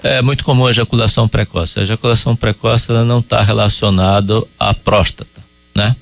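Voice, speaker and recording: A man answering listeners' medical questions on a radio call-in show, explaining calmly and at a measured pace. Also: lowish voice, creaky. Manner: calm, explanatory, measured with hesitations. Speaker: urologist guest. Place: radio studio.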